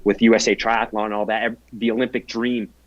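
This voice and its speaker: A man's voice: lowish voice, little pitch movement, fairly quick, no room sound, dull audio. A man in his twenties, a guest athlete telling his story in an earnest, reflective way during a casual interview. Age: 20s.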